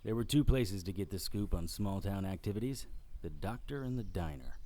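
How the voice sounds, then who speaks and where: A man's voice: deep voice, animated, normal speed, mellow. An actor playing a wisecracking private investigator who narrates his own story in a radio drama. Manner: dry, sardonic wisecracks. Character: Harry Strange, wisecracking male private investigator. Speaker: narrator and lead character. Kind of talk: hardboiled first-person narration.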